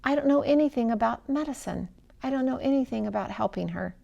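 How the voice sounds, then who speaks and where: A storyteller woman plays an earnest young woman from a storybook. Her voice is lifted high and emphatic, reluctant yet keen to help. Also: lively intonation, fast, gravelly, warm tone, lo-fi sound, full character voice. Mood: firm, distressed refusal. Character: earnest young American missionary's daughter, reluctant but helpful. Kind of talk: storybook character reading.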